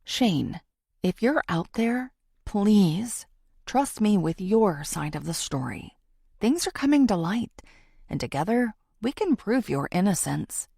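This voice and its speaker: A woman's voice: mid-range voice, big pitch swings, vocal fry, mellow, husky, no echo, clean studio sound, exaggerated voice. A woman voicing an earnest, theatrical female true-crime podcaster who confides in her listeners in an audiobook. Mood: pleading, insistent. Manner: confiding, theatrical, deliberate pauses.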